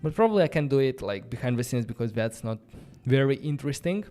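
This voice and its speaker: A man's voice: medium pitch, expressive, quick pace, mellow. A man with a Romanian accent, a non-native English speaker, hosting a casual live-coding stream and thinking aloud in a loose, chatty way full of fillers. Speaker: host of a coding livestream. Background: faint background music.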